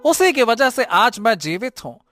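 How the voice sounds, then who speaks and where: A dutiful young cartoon prince played by a man, earnest and declamatory. His high, bright voice moves animatedly up and down. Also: sing-song, moderate pace, soft background music, heavily acted. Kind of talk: cartoon story character voice.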